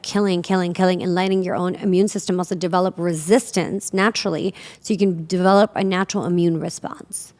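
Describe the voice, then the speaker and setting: A woman in her forties hosts a relaxed interview in a fairly low voice. She talks in a loose, chatty way and fills her sentences with "like" and "you know". She grew up in Pakistan. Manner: chatty, loose, lots of fillers and "like". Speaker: podcast host. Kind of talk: casual interview chat.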